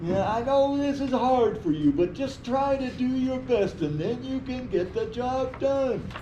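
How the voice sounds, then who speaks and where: Bossy older brother, acted out in a play reading, soft dark tone, muffled echoey recording. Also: sits high, animated, unhurried. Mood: helpful. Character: busy, bossy older brother absorbed in building Lego. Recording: muffled, echoey room with faint noise.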